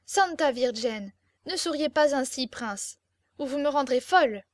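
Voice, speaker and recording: A woman's voice: high voice, moderate pace, no echo, clean studio sound, voice pushed up, lightly acted. A woman reading a storybook, voicing a fiery, imperious young captive beauty with impassioned, theatrical exclamations. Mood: furious, pleading.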